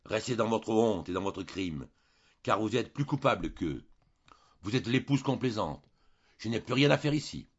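A man's voice: fairly low pitch, sing-song, normal speed, a little mellow, husky, highs cut off. Man acting a wrathful, commanding priest. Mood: wrathful, condemning. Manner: vehement, moralizing, commanding, rhetorical. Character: stern, fanatical priest, wrathful and accusing.

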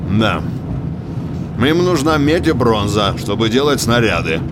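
A man's voice: mid-range voice, big pitch swings, measured pace. An actor playing a talkative small-town man, a folksy, vigilant busybody telling stories emphatically in an audio drama, often adding tag questions. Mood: indignant, conspiratorial. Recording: clear car noise behind the voice. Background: car engine, outdoor vehicle ambience.

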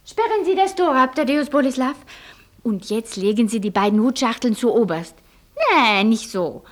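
High, fussy, bossy lady. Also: expressive, moderate pace. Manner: commanding, fussy, affected. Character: fussy, bossy lady fleeing with her luggage. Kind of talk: classic German radio play acting.

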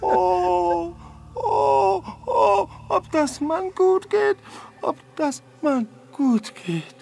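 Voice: very high-pitched, big pitch swings, drawn-out words, vocal fry, a little mellow, full character voice. An actor voicing a timid, whining, cowardly beetle who stammers and whimpers, in a children's radio play. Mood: wailing dread.

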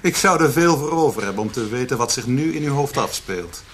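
A man's voice: mid-range voice, expressive, a little fast, fairly bright tone, lightly acted. An actor playing a defensive, sardonic, self-possessed businessman in a radio drama. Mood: wry. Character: defensive, sardonic businessman under police questioning. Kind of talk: Dutch radio drama acting. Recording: faint background noise.

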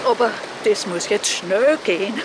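A woman's voice: mid-range voice, lively intonation, lightly acted. An actress playing an earnest Austrian countrywoman in a radio play, pleading deferentially and urgently for help. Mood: anxious, insistent. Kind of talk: radio play monologue, phone call. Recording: loud rushing water noise. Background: rushing flood water.

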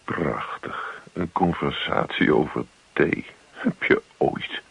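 An actor playing a puzzled, sardonic husband in a radio play, hesitant and given to dry muttering. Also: male voice, a bass voice, expressive, takes it slowly, vocal fry, breathy edge, heavily acted. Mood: dryly sarcastic. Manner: hesitant, dryly sarcastic, muttering. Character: puzzled, sardonic husband, youngish bank man. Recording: dull, lo-fi old radio play recording.

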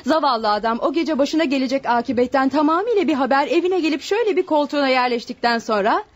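Severe high-voiced woman prosecutor, acted. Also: fast, lightly acted. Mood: solemn accusation. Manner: formal, declamatory, scornful. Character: severe, accusing female prosecutor. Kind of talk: radio drama acting. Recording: dull lo-fi radio drama recording.

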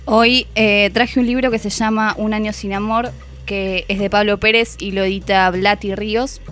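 Radio book columnist: woman, Argentine accent, mid-pitched, hesitant and thoughtful conversational delivery, music bed underneath. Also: flat delivery, moderate pace, a bit bright. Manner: reflective, hesitant, rephrasing as she goes. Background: background music.

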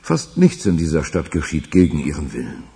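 A man in a German radio crime drama playing a sceptical, gruff police official in a crime boss's service, with a gravelly voice. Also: a bass voice, wildly swooping pitch, fairly quick, dark tone, full character voice. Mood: sceptical, soothing.

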